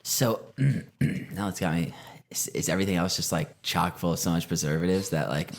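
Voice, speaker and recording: A man's voice: sits low, fairly even intonation, normal speed. A man co-hosting a podcast, chatting casually and tossing in jokes. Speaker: podcast co-host. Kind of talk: casual chat.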